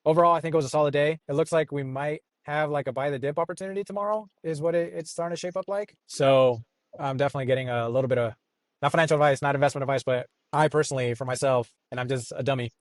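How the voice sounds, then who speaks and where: A man's voice: a little high, fast talker, no room sound, studio quality. A man co-hosting a podcast, giving casual conversational market commentary with hedging, self-deprecating asides. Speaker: podcast co-host discussing stock investing.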